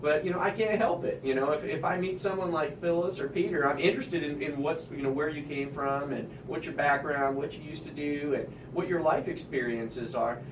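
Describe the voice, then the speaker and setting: A man's voice: on the high side, steady tone, a little fast, hardly any pauses, reverberant, far from the mic, noisy background. Rambling, chatty male radio host. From Midwest, Kansas City. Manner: rambling, chatty, many restarts. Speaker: radio show host, mortgage broker. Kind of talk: casual interview chat.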